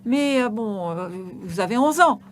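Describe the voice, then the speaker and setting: A woman giving a live interpretation of an on-stage conversation, halting, with frequent hesitations and restarts. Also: on the high side, animated, slight background noise.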